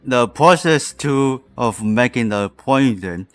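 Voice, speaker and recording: Slow, halting male game streamer. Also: medium pitch, lively intonation. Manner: halting, fragmented phrasing. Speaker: game livestreamer. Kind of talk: casual live gaming commentary. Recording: background noise, clicks.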